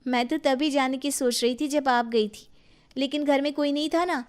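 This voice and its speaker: A woman voicing an innocent, dutiful younger daughter-in-law, earnest and a little plaintive, in an animated family story. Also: high voice, moderate pace, close to an everyday voice. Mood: pleading, wistful.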